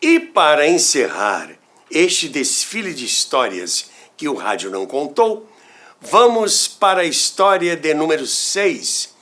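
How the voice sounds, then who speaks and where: Slow, expressive Brazilian man telling stories. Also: a little high. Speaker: radio history podcast host. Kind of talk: scripted storytelling narration.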